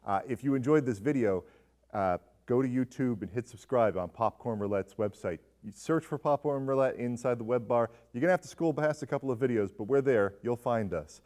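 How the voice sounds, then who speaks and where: A man's voice: medium pitch, a little fast, darkish tone. A man hosting a casual film discussion, talking in a rambling way full of asides and questions. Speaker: podcast host.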